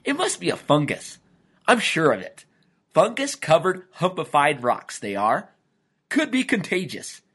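A man voicing an excitable, anxious father lizard in a storybook, with dramatic exclamations. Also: a little high, unhurried, breathy edge, pitched above the performer's own voice, heavily acted. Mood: earnest, wary. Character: excitable anxious male father lizard, brave but easily panicked.

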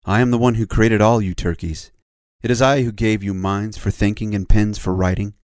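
A man's voice: fairly low pitch, steady tone, moderate pace, a little mellow, dry recording, clean studio sound, natural voice. A man playing a stern but loving divine lord in a children's story, solemn and admonishing.

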